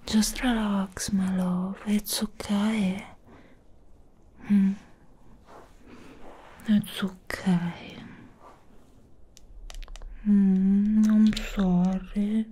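Woman playing a slow, whispering, obsessive vampire ex-girlfriend. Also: mid-range voice, little pitch movement, warm tone. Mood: soothing, adoring. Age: young adult. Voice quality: breathy whisper. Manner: slow, lingering, intimate, cooing. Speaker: ASMR roleplay performer. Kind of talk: soft-spoken whispered ASMR roleplay. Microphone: close mic, intimate.

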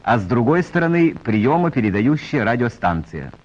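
A man reading scripted narration in a formal, measured announcer style, as in a 1940s training film. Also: mid-range voice, sing-song. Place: voice-over recorded for a film. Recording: muffled vintage 1940s film soundtrack.

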